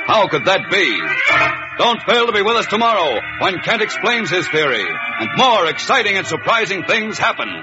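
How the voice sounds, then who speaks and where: A man's voice: high-pitched, very animated, normal speed. An American man narrating a 1940s radio serial in a dramatic, suspenseful, declamatory style. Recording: muffled old radio broadcast recording. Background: loud music.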